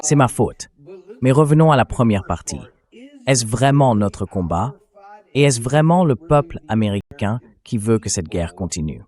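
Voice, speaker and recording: A man's voice: medium pitch, animated, normal speed, darkish tone. A man hosting a political discussion, in a translated voice-over read by a synthetic voice. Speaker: host.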